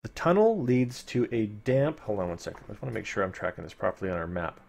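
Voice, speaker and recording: A man's voice: on the low side, sing-song, fast, creaky, darkish tone. A man streaming a live read-aloud of a fantasy gamebook, dramatic in the narration and informal in his asides. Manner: playful, informal, dramatic when reading.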